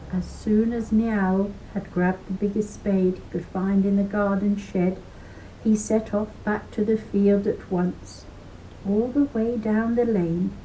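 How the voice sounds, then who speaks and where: Older woman reading slowly, mellow voice. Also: medium pitch, slight background noise, low-quality recording. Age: 60s or older. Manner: deliberate phrasing with dramatic emphasis. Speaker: storyteller. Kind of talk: expressive children's story read aloud. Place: living room at home beside window. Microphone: webcam or laptop microphone.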